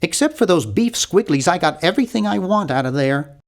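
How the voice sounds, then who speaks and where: A man's voice: high voice, animated, fairly quick. A man voicing a nerdy, timid young adventure-game hero, bookish and polite, with a nasal voice and an awkward, earnest, fussy manner. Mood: hesitant, observant. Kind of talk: video game character voice lines.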